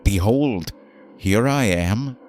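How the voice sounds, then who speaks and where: A man voicing a solemn, obedient old patriarch in a slow, dignified scripture reading. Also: fairly low pitch, expressive, darkish tone, a little hiss or hum. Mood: steady.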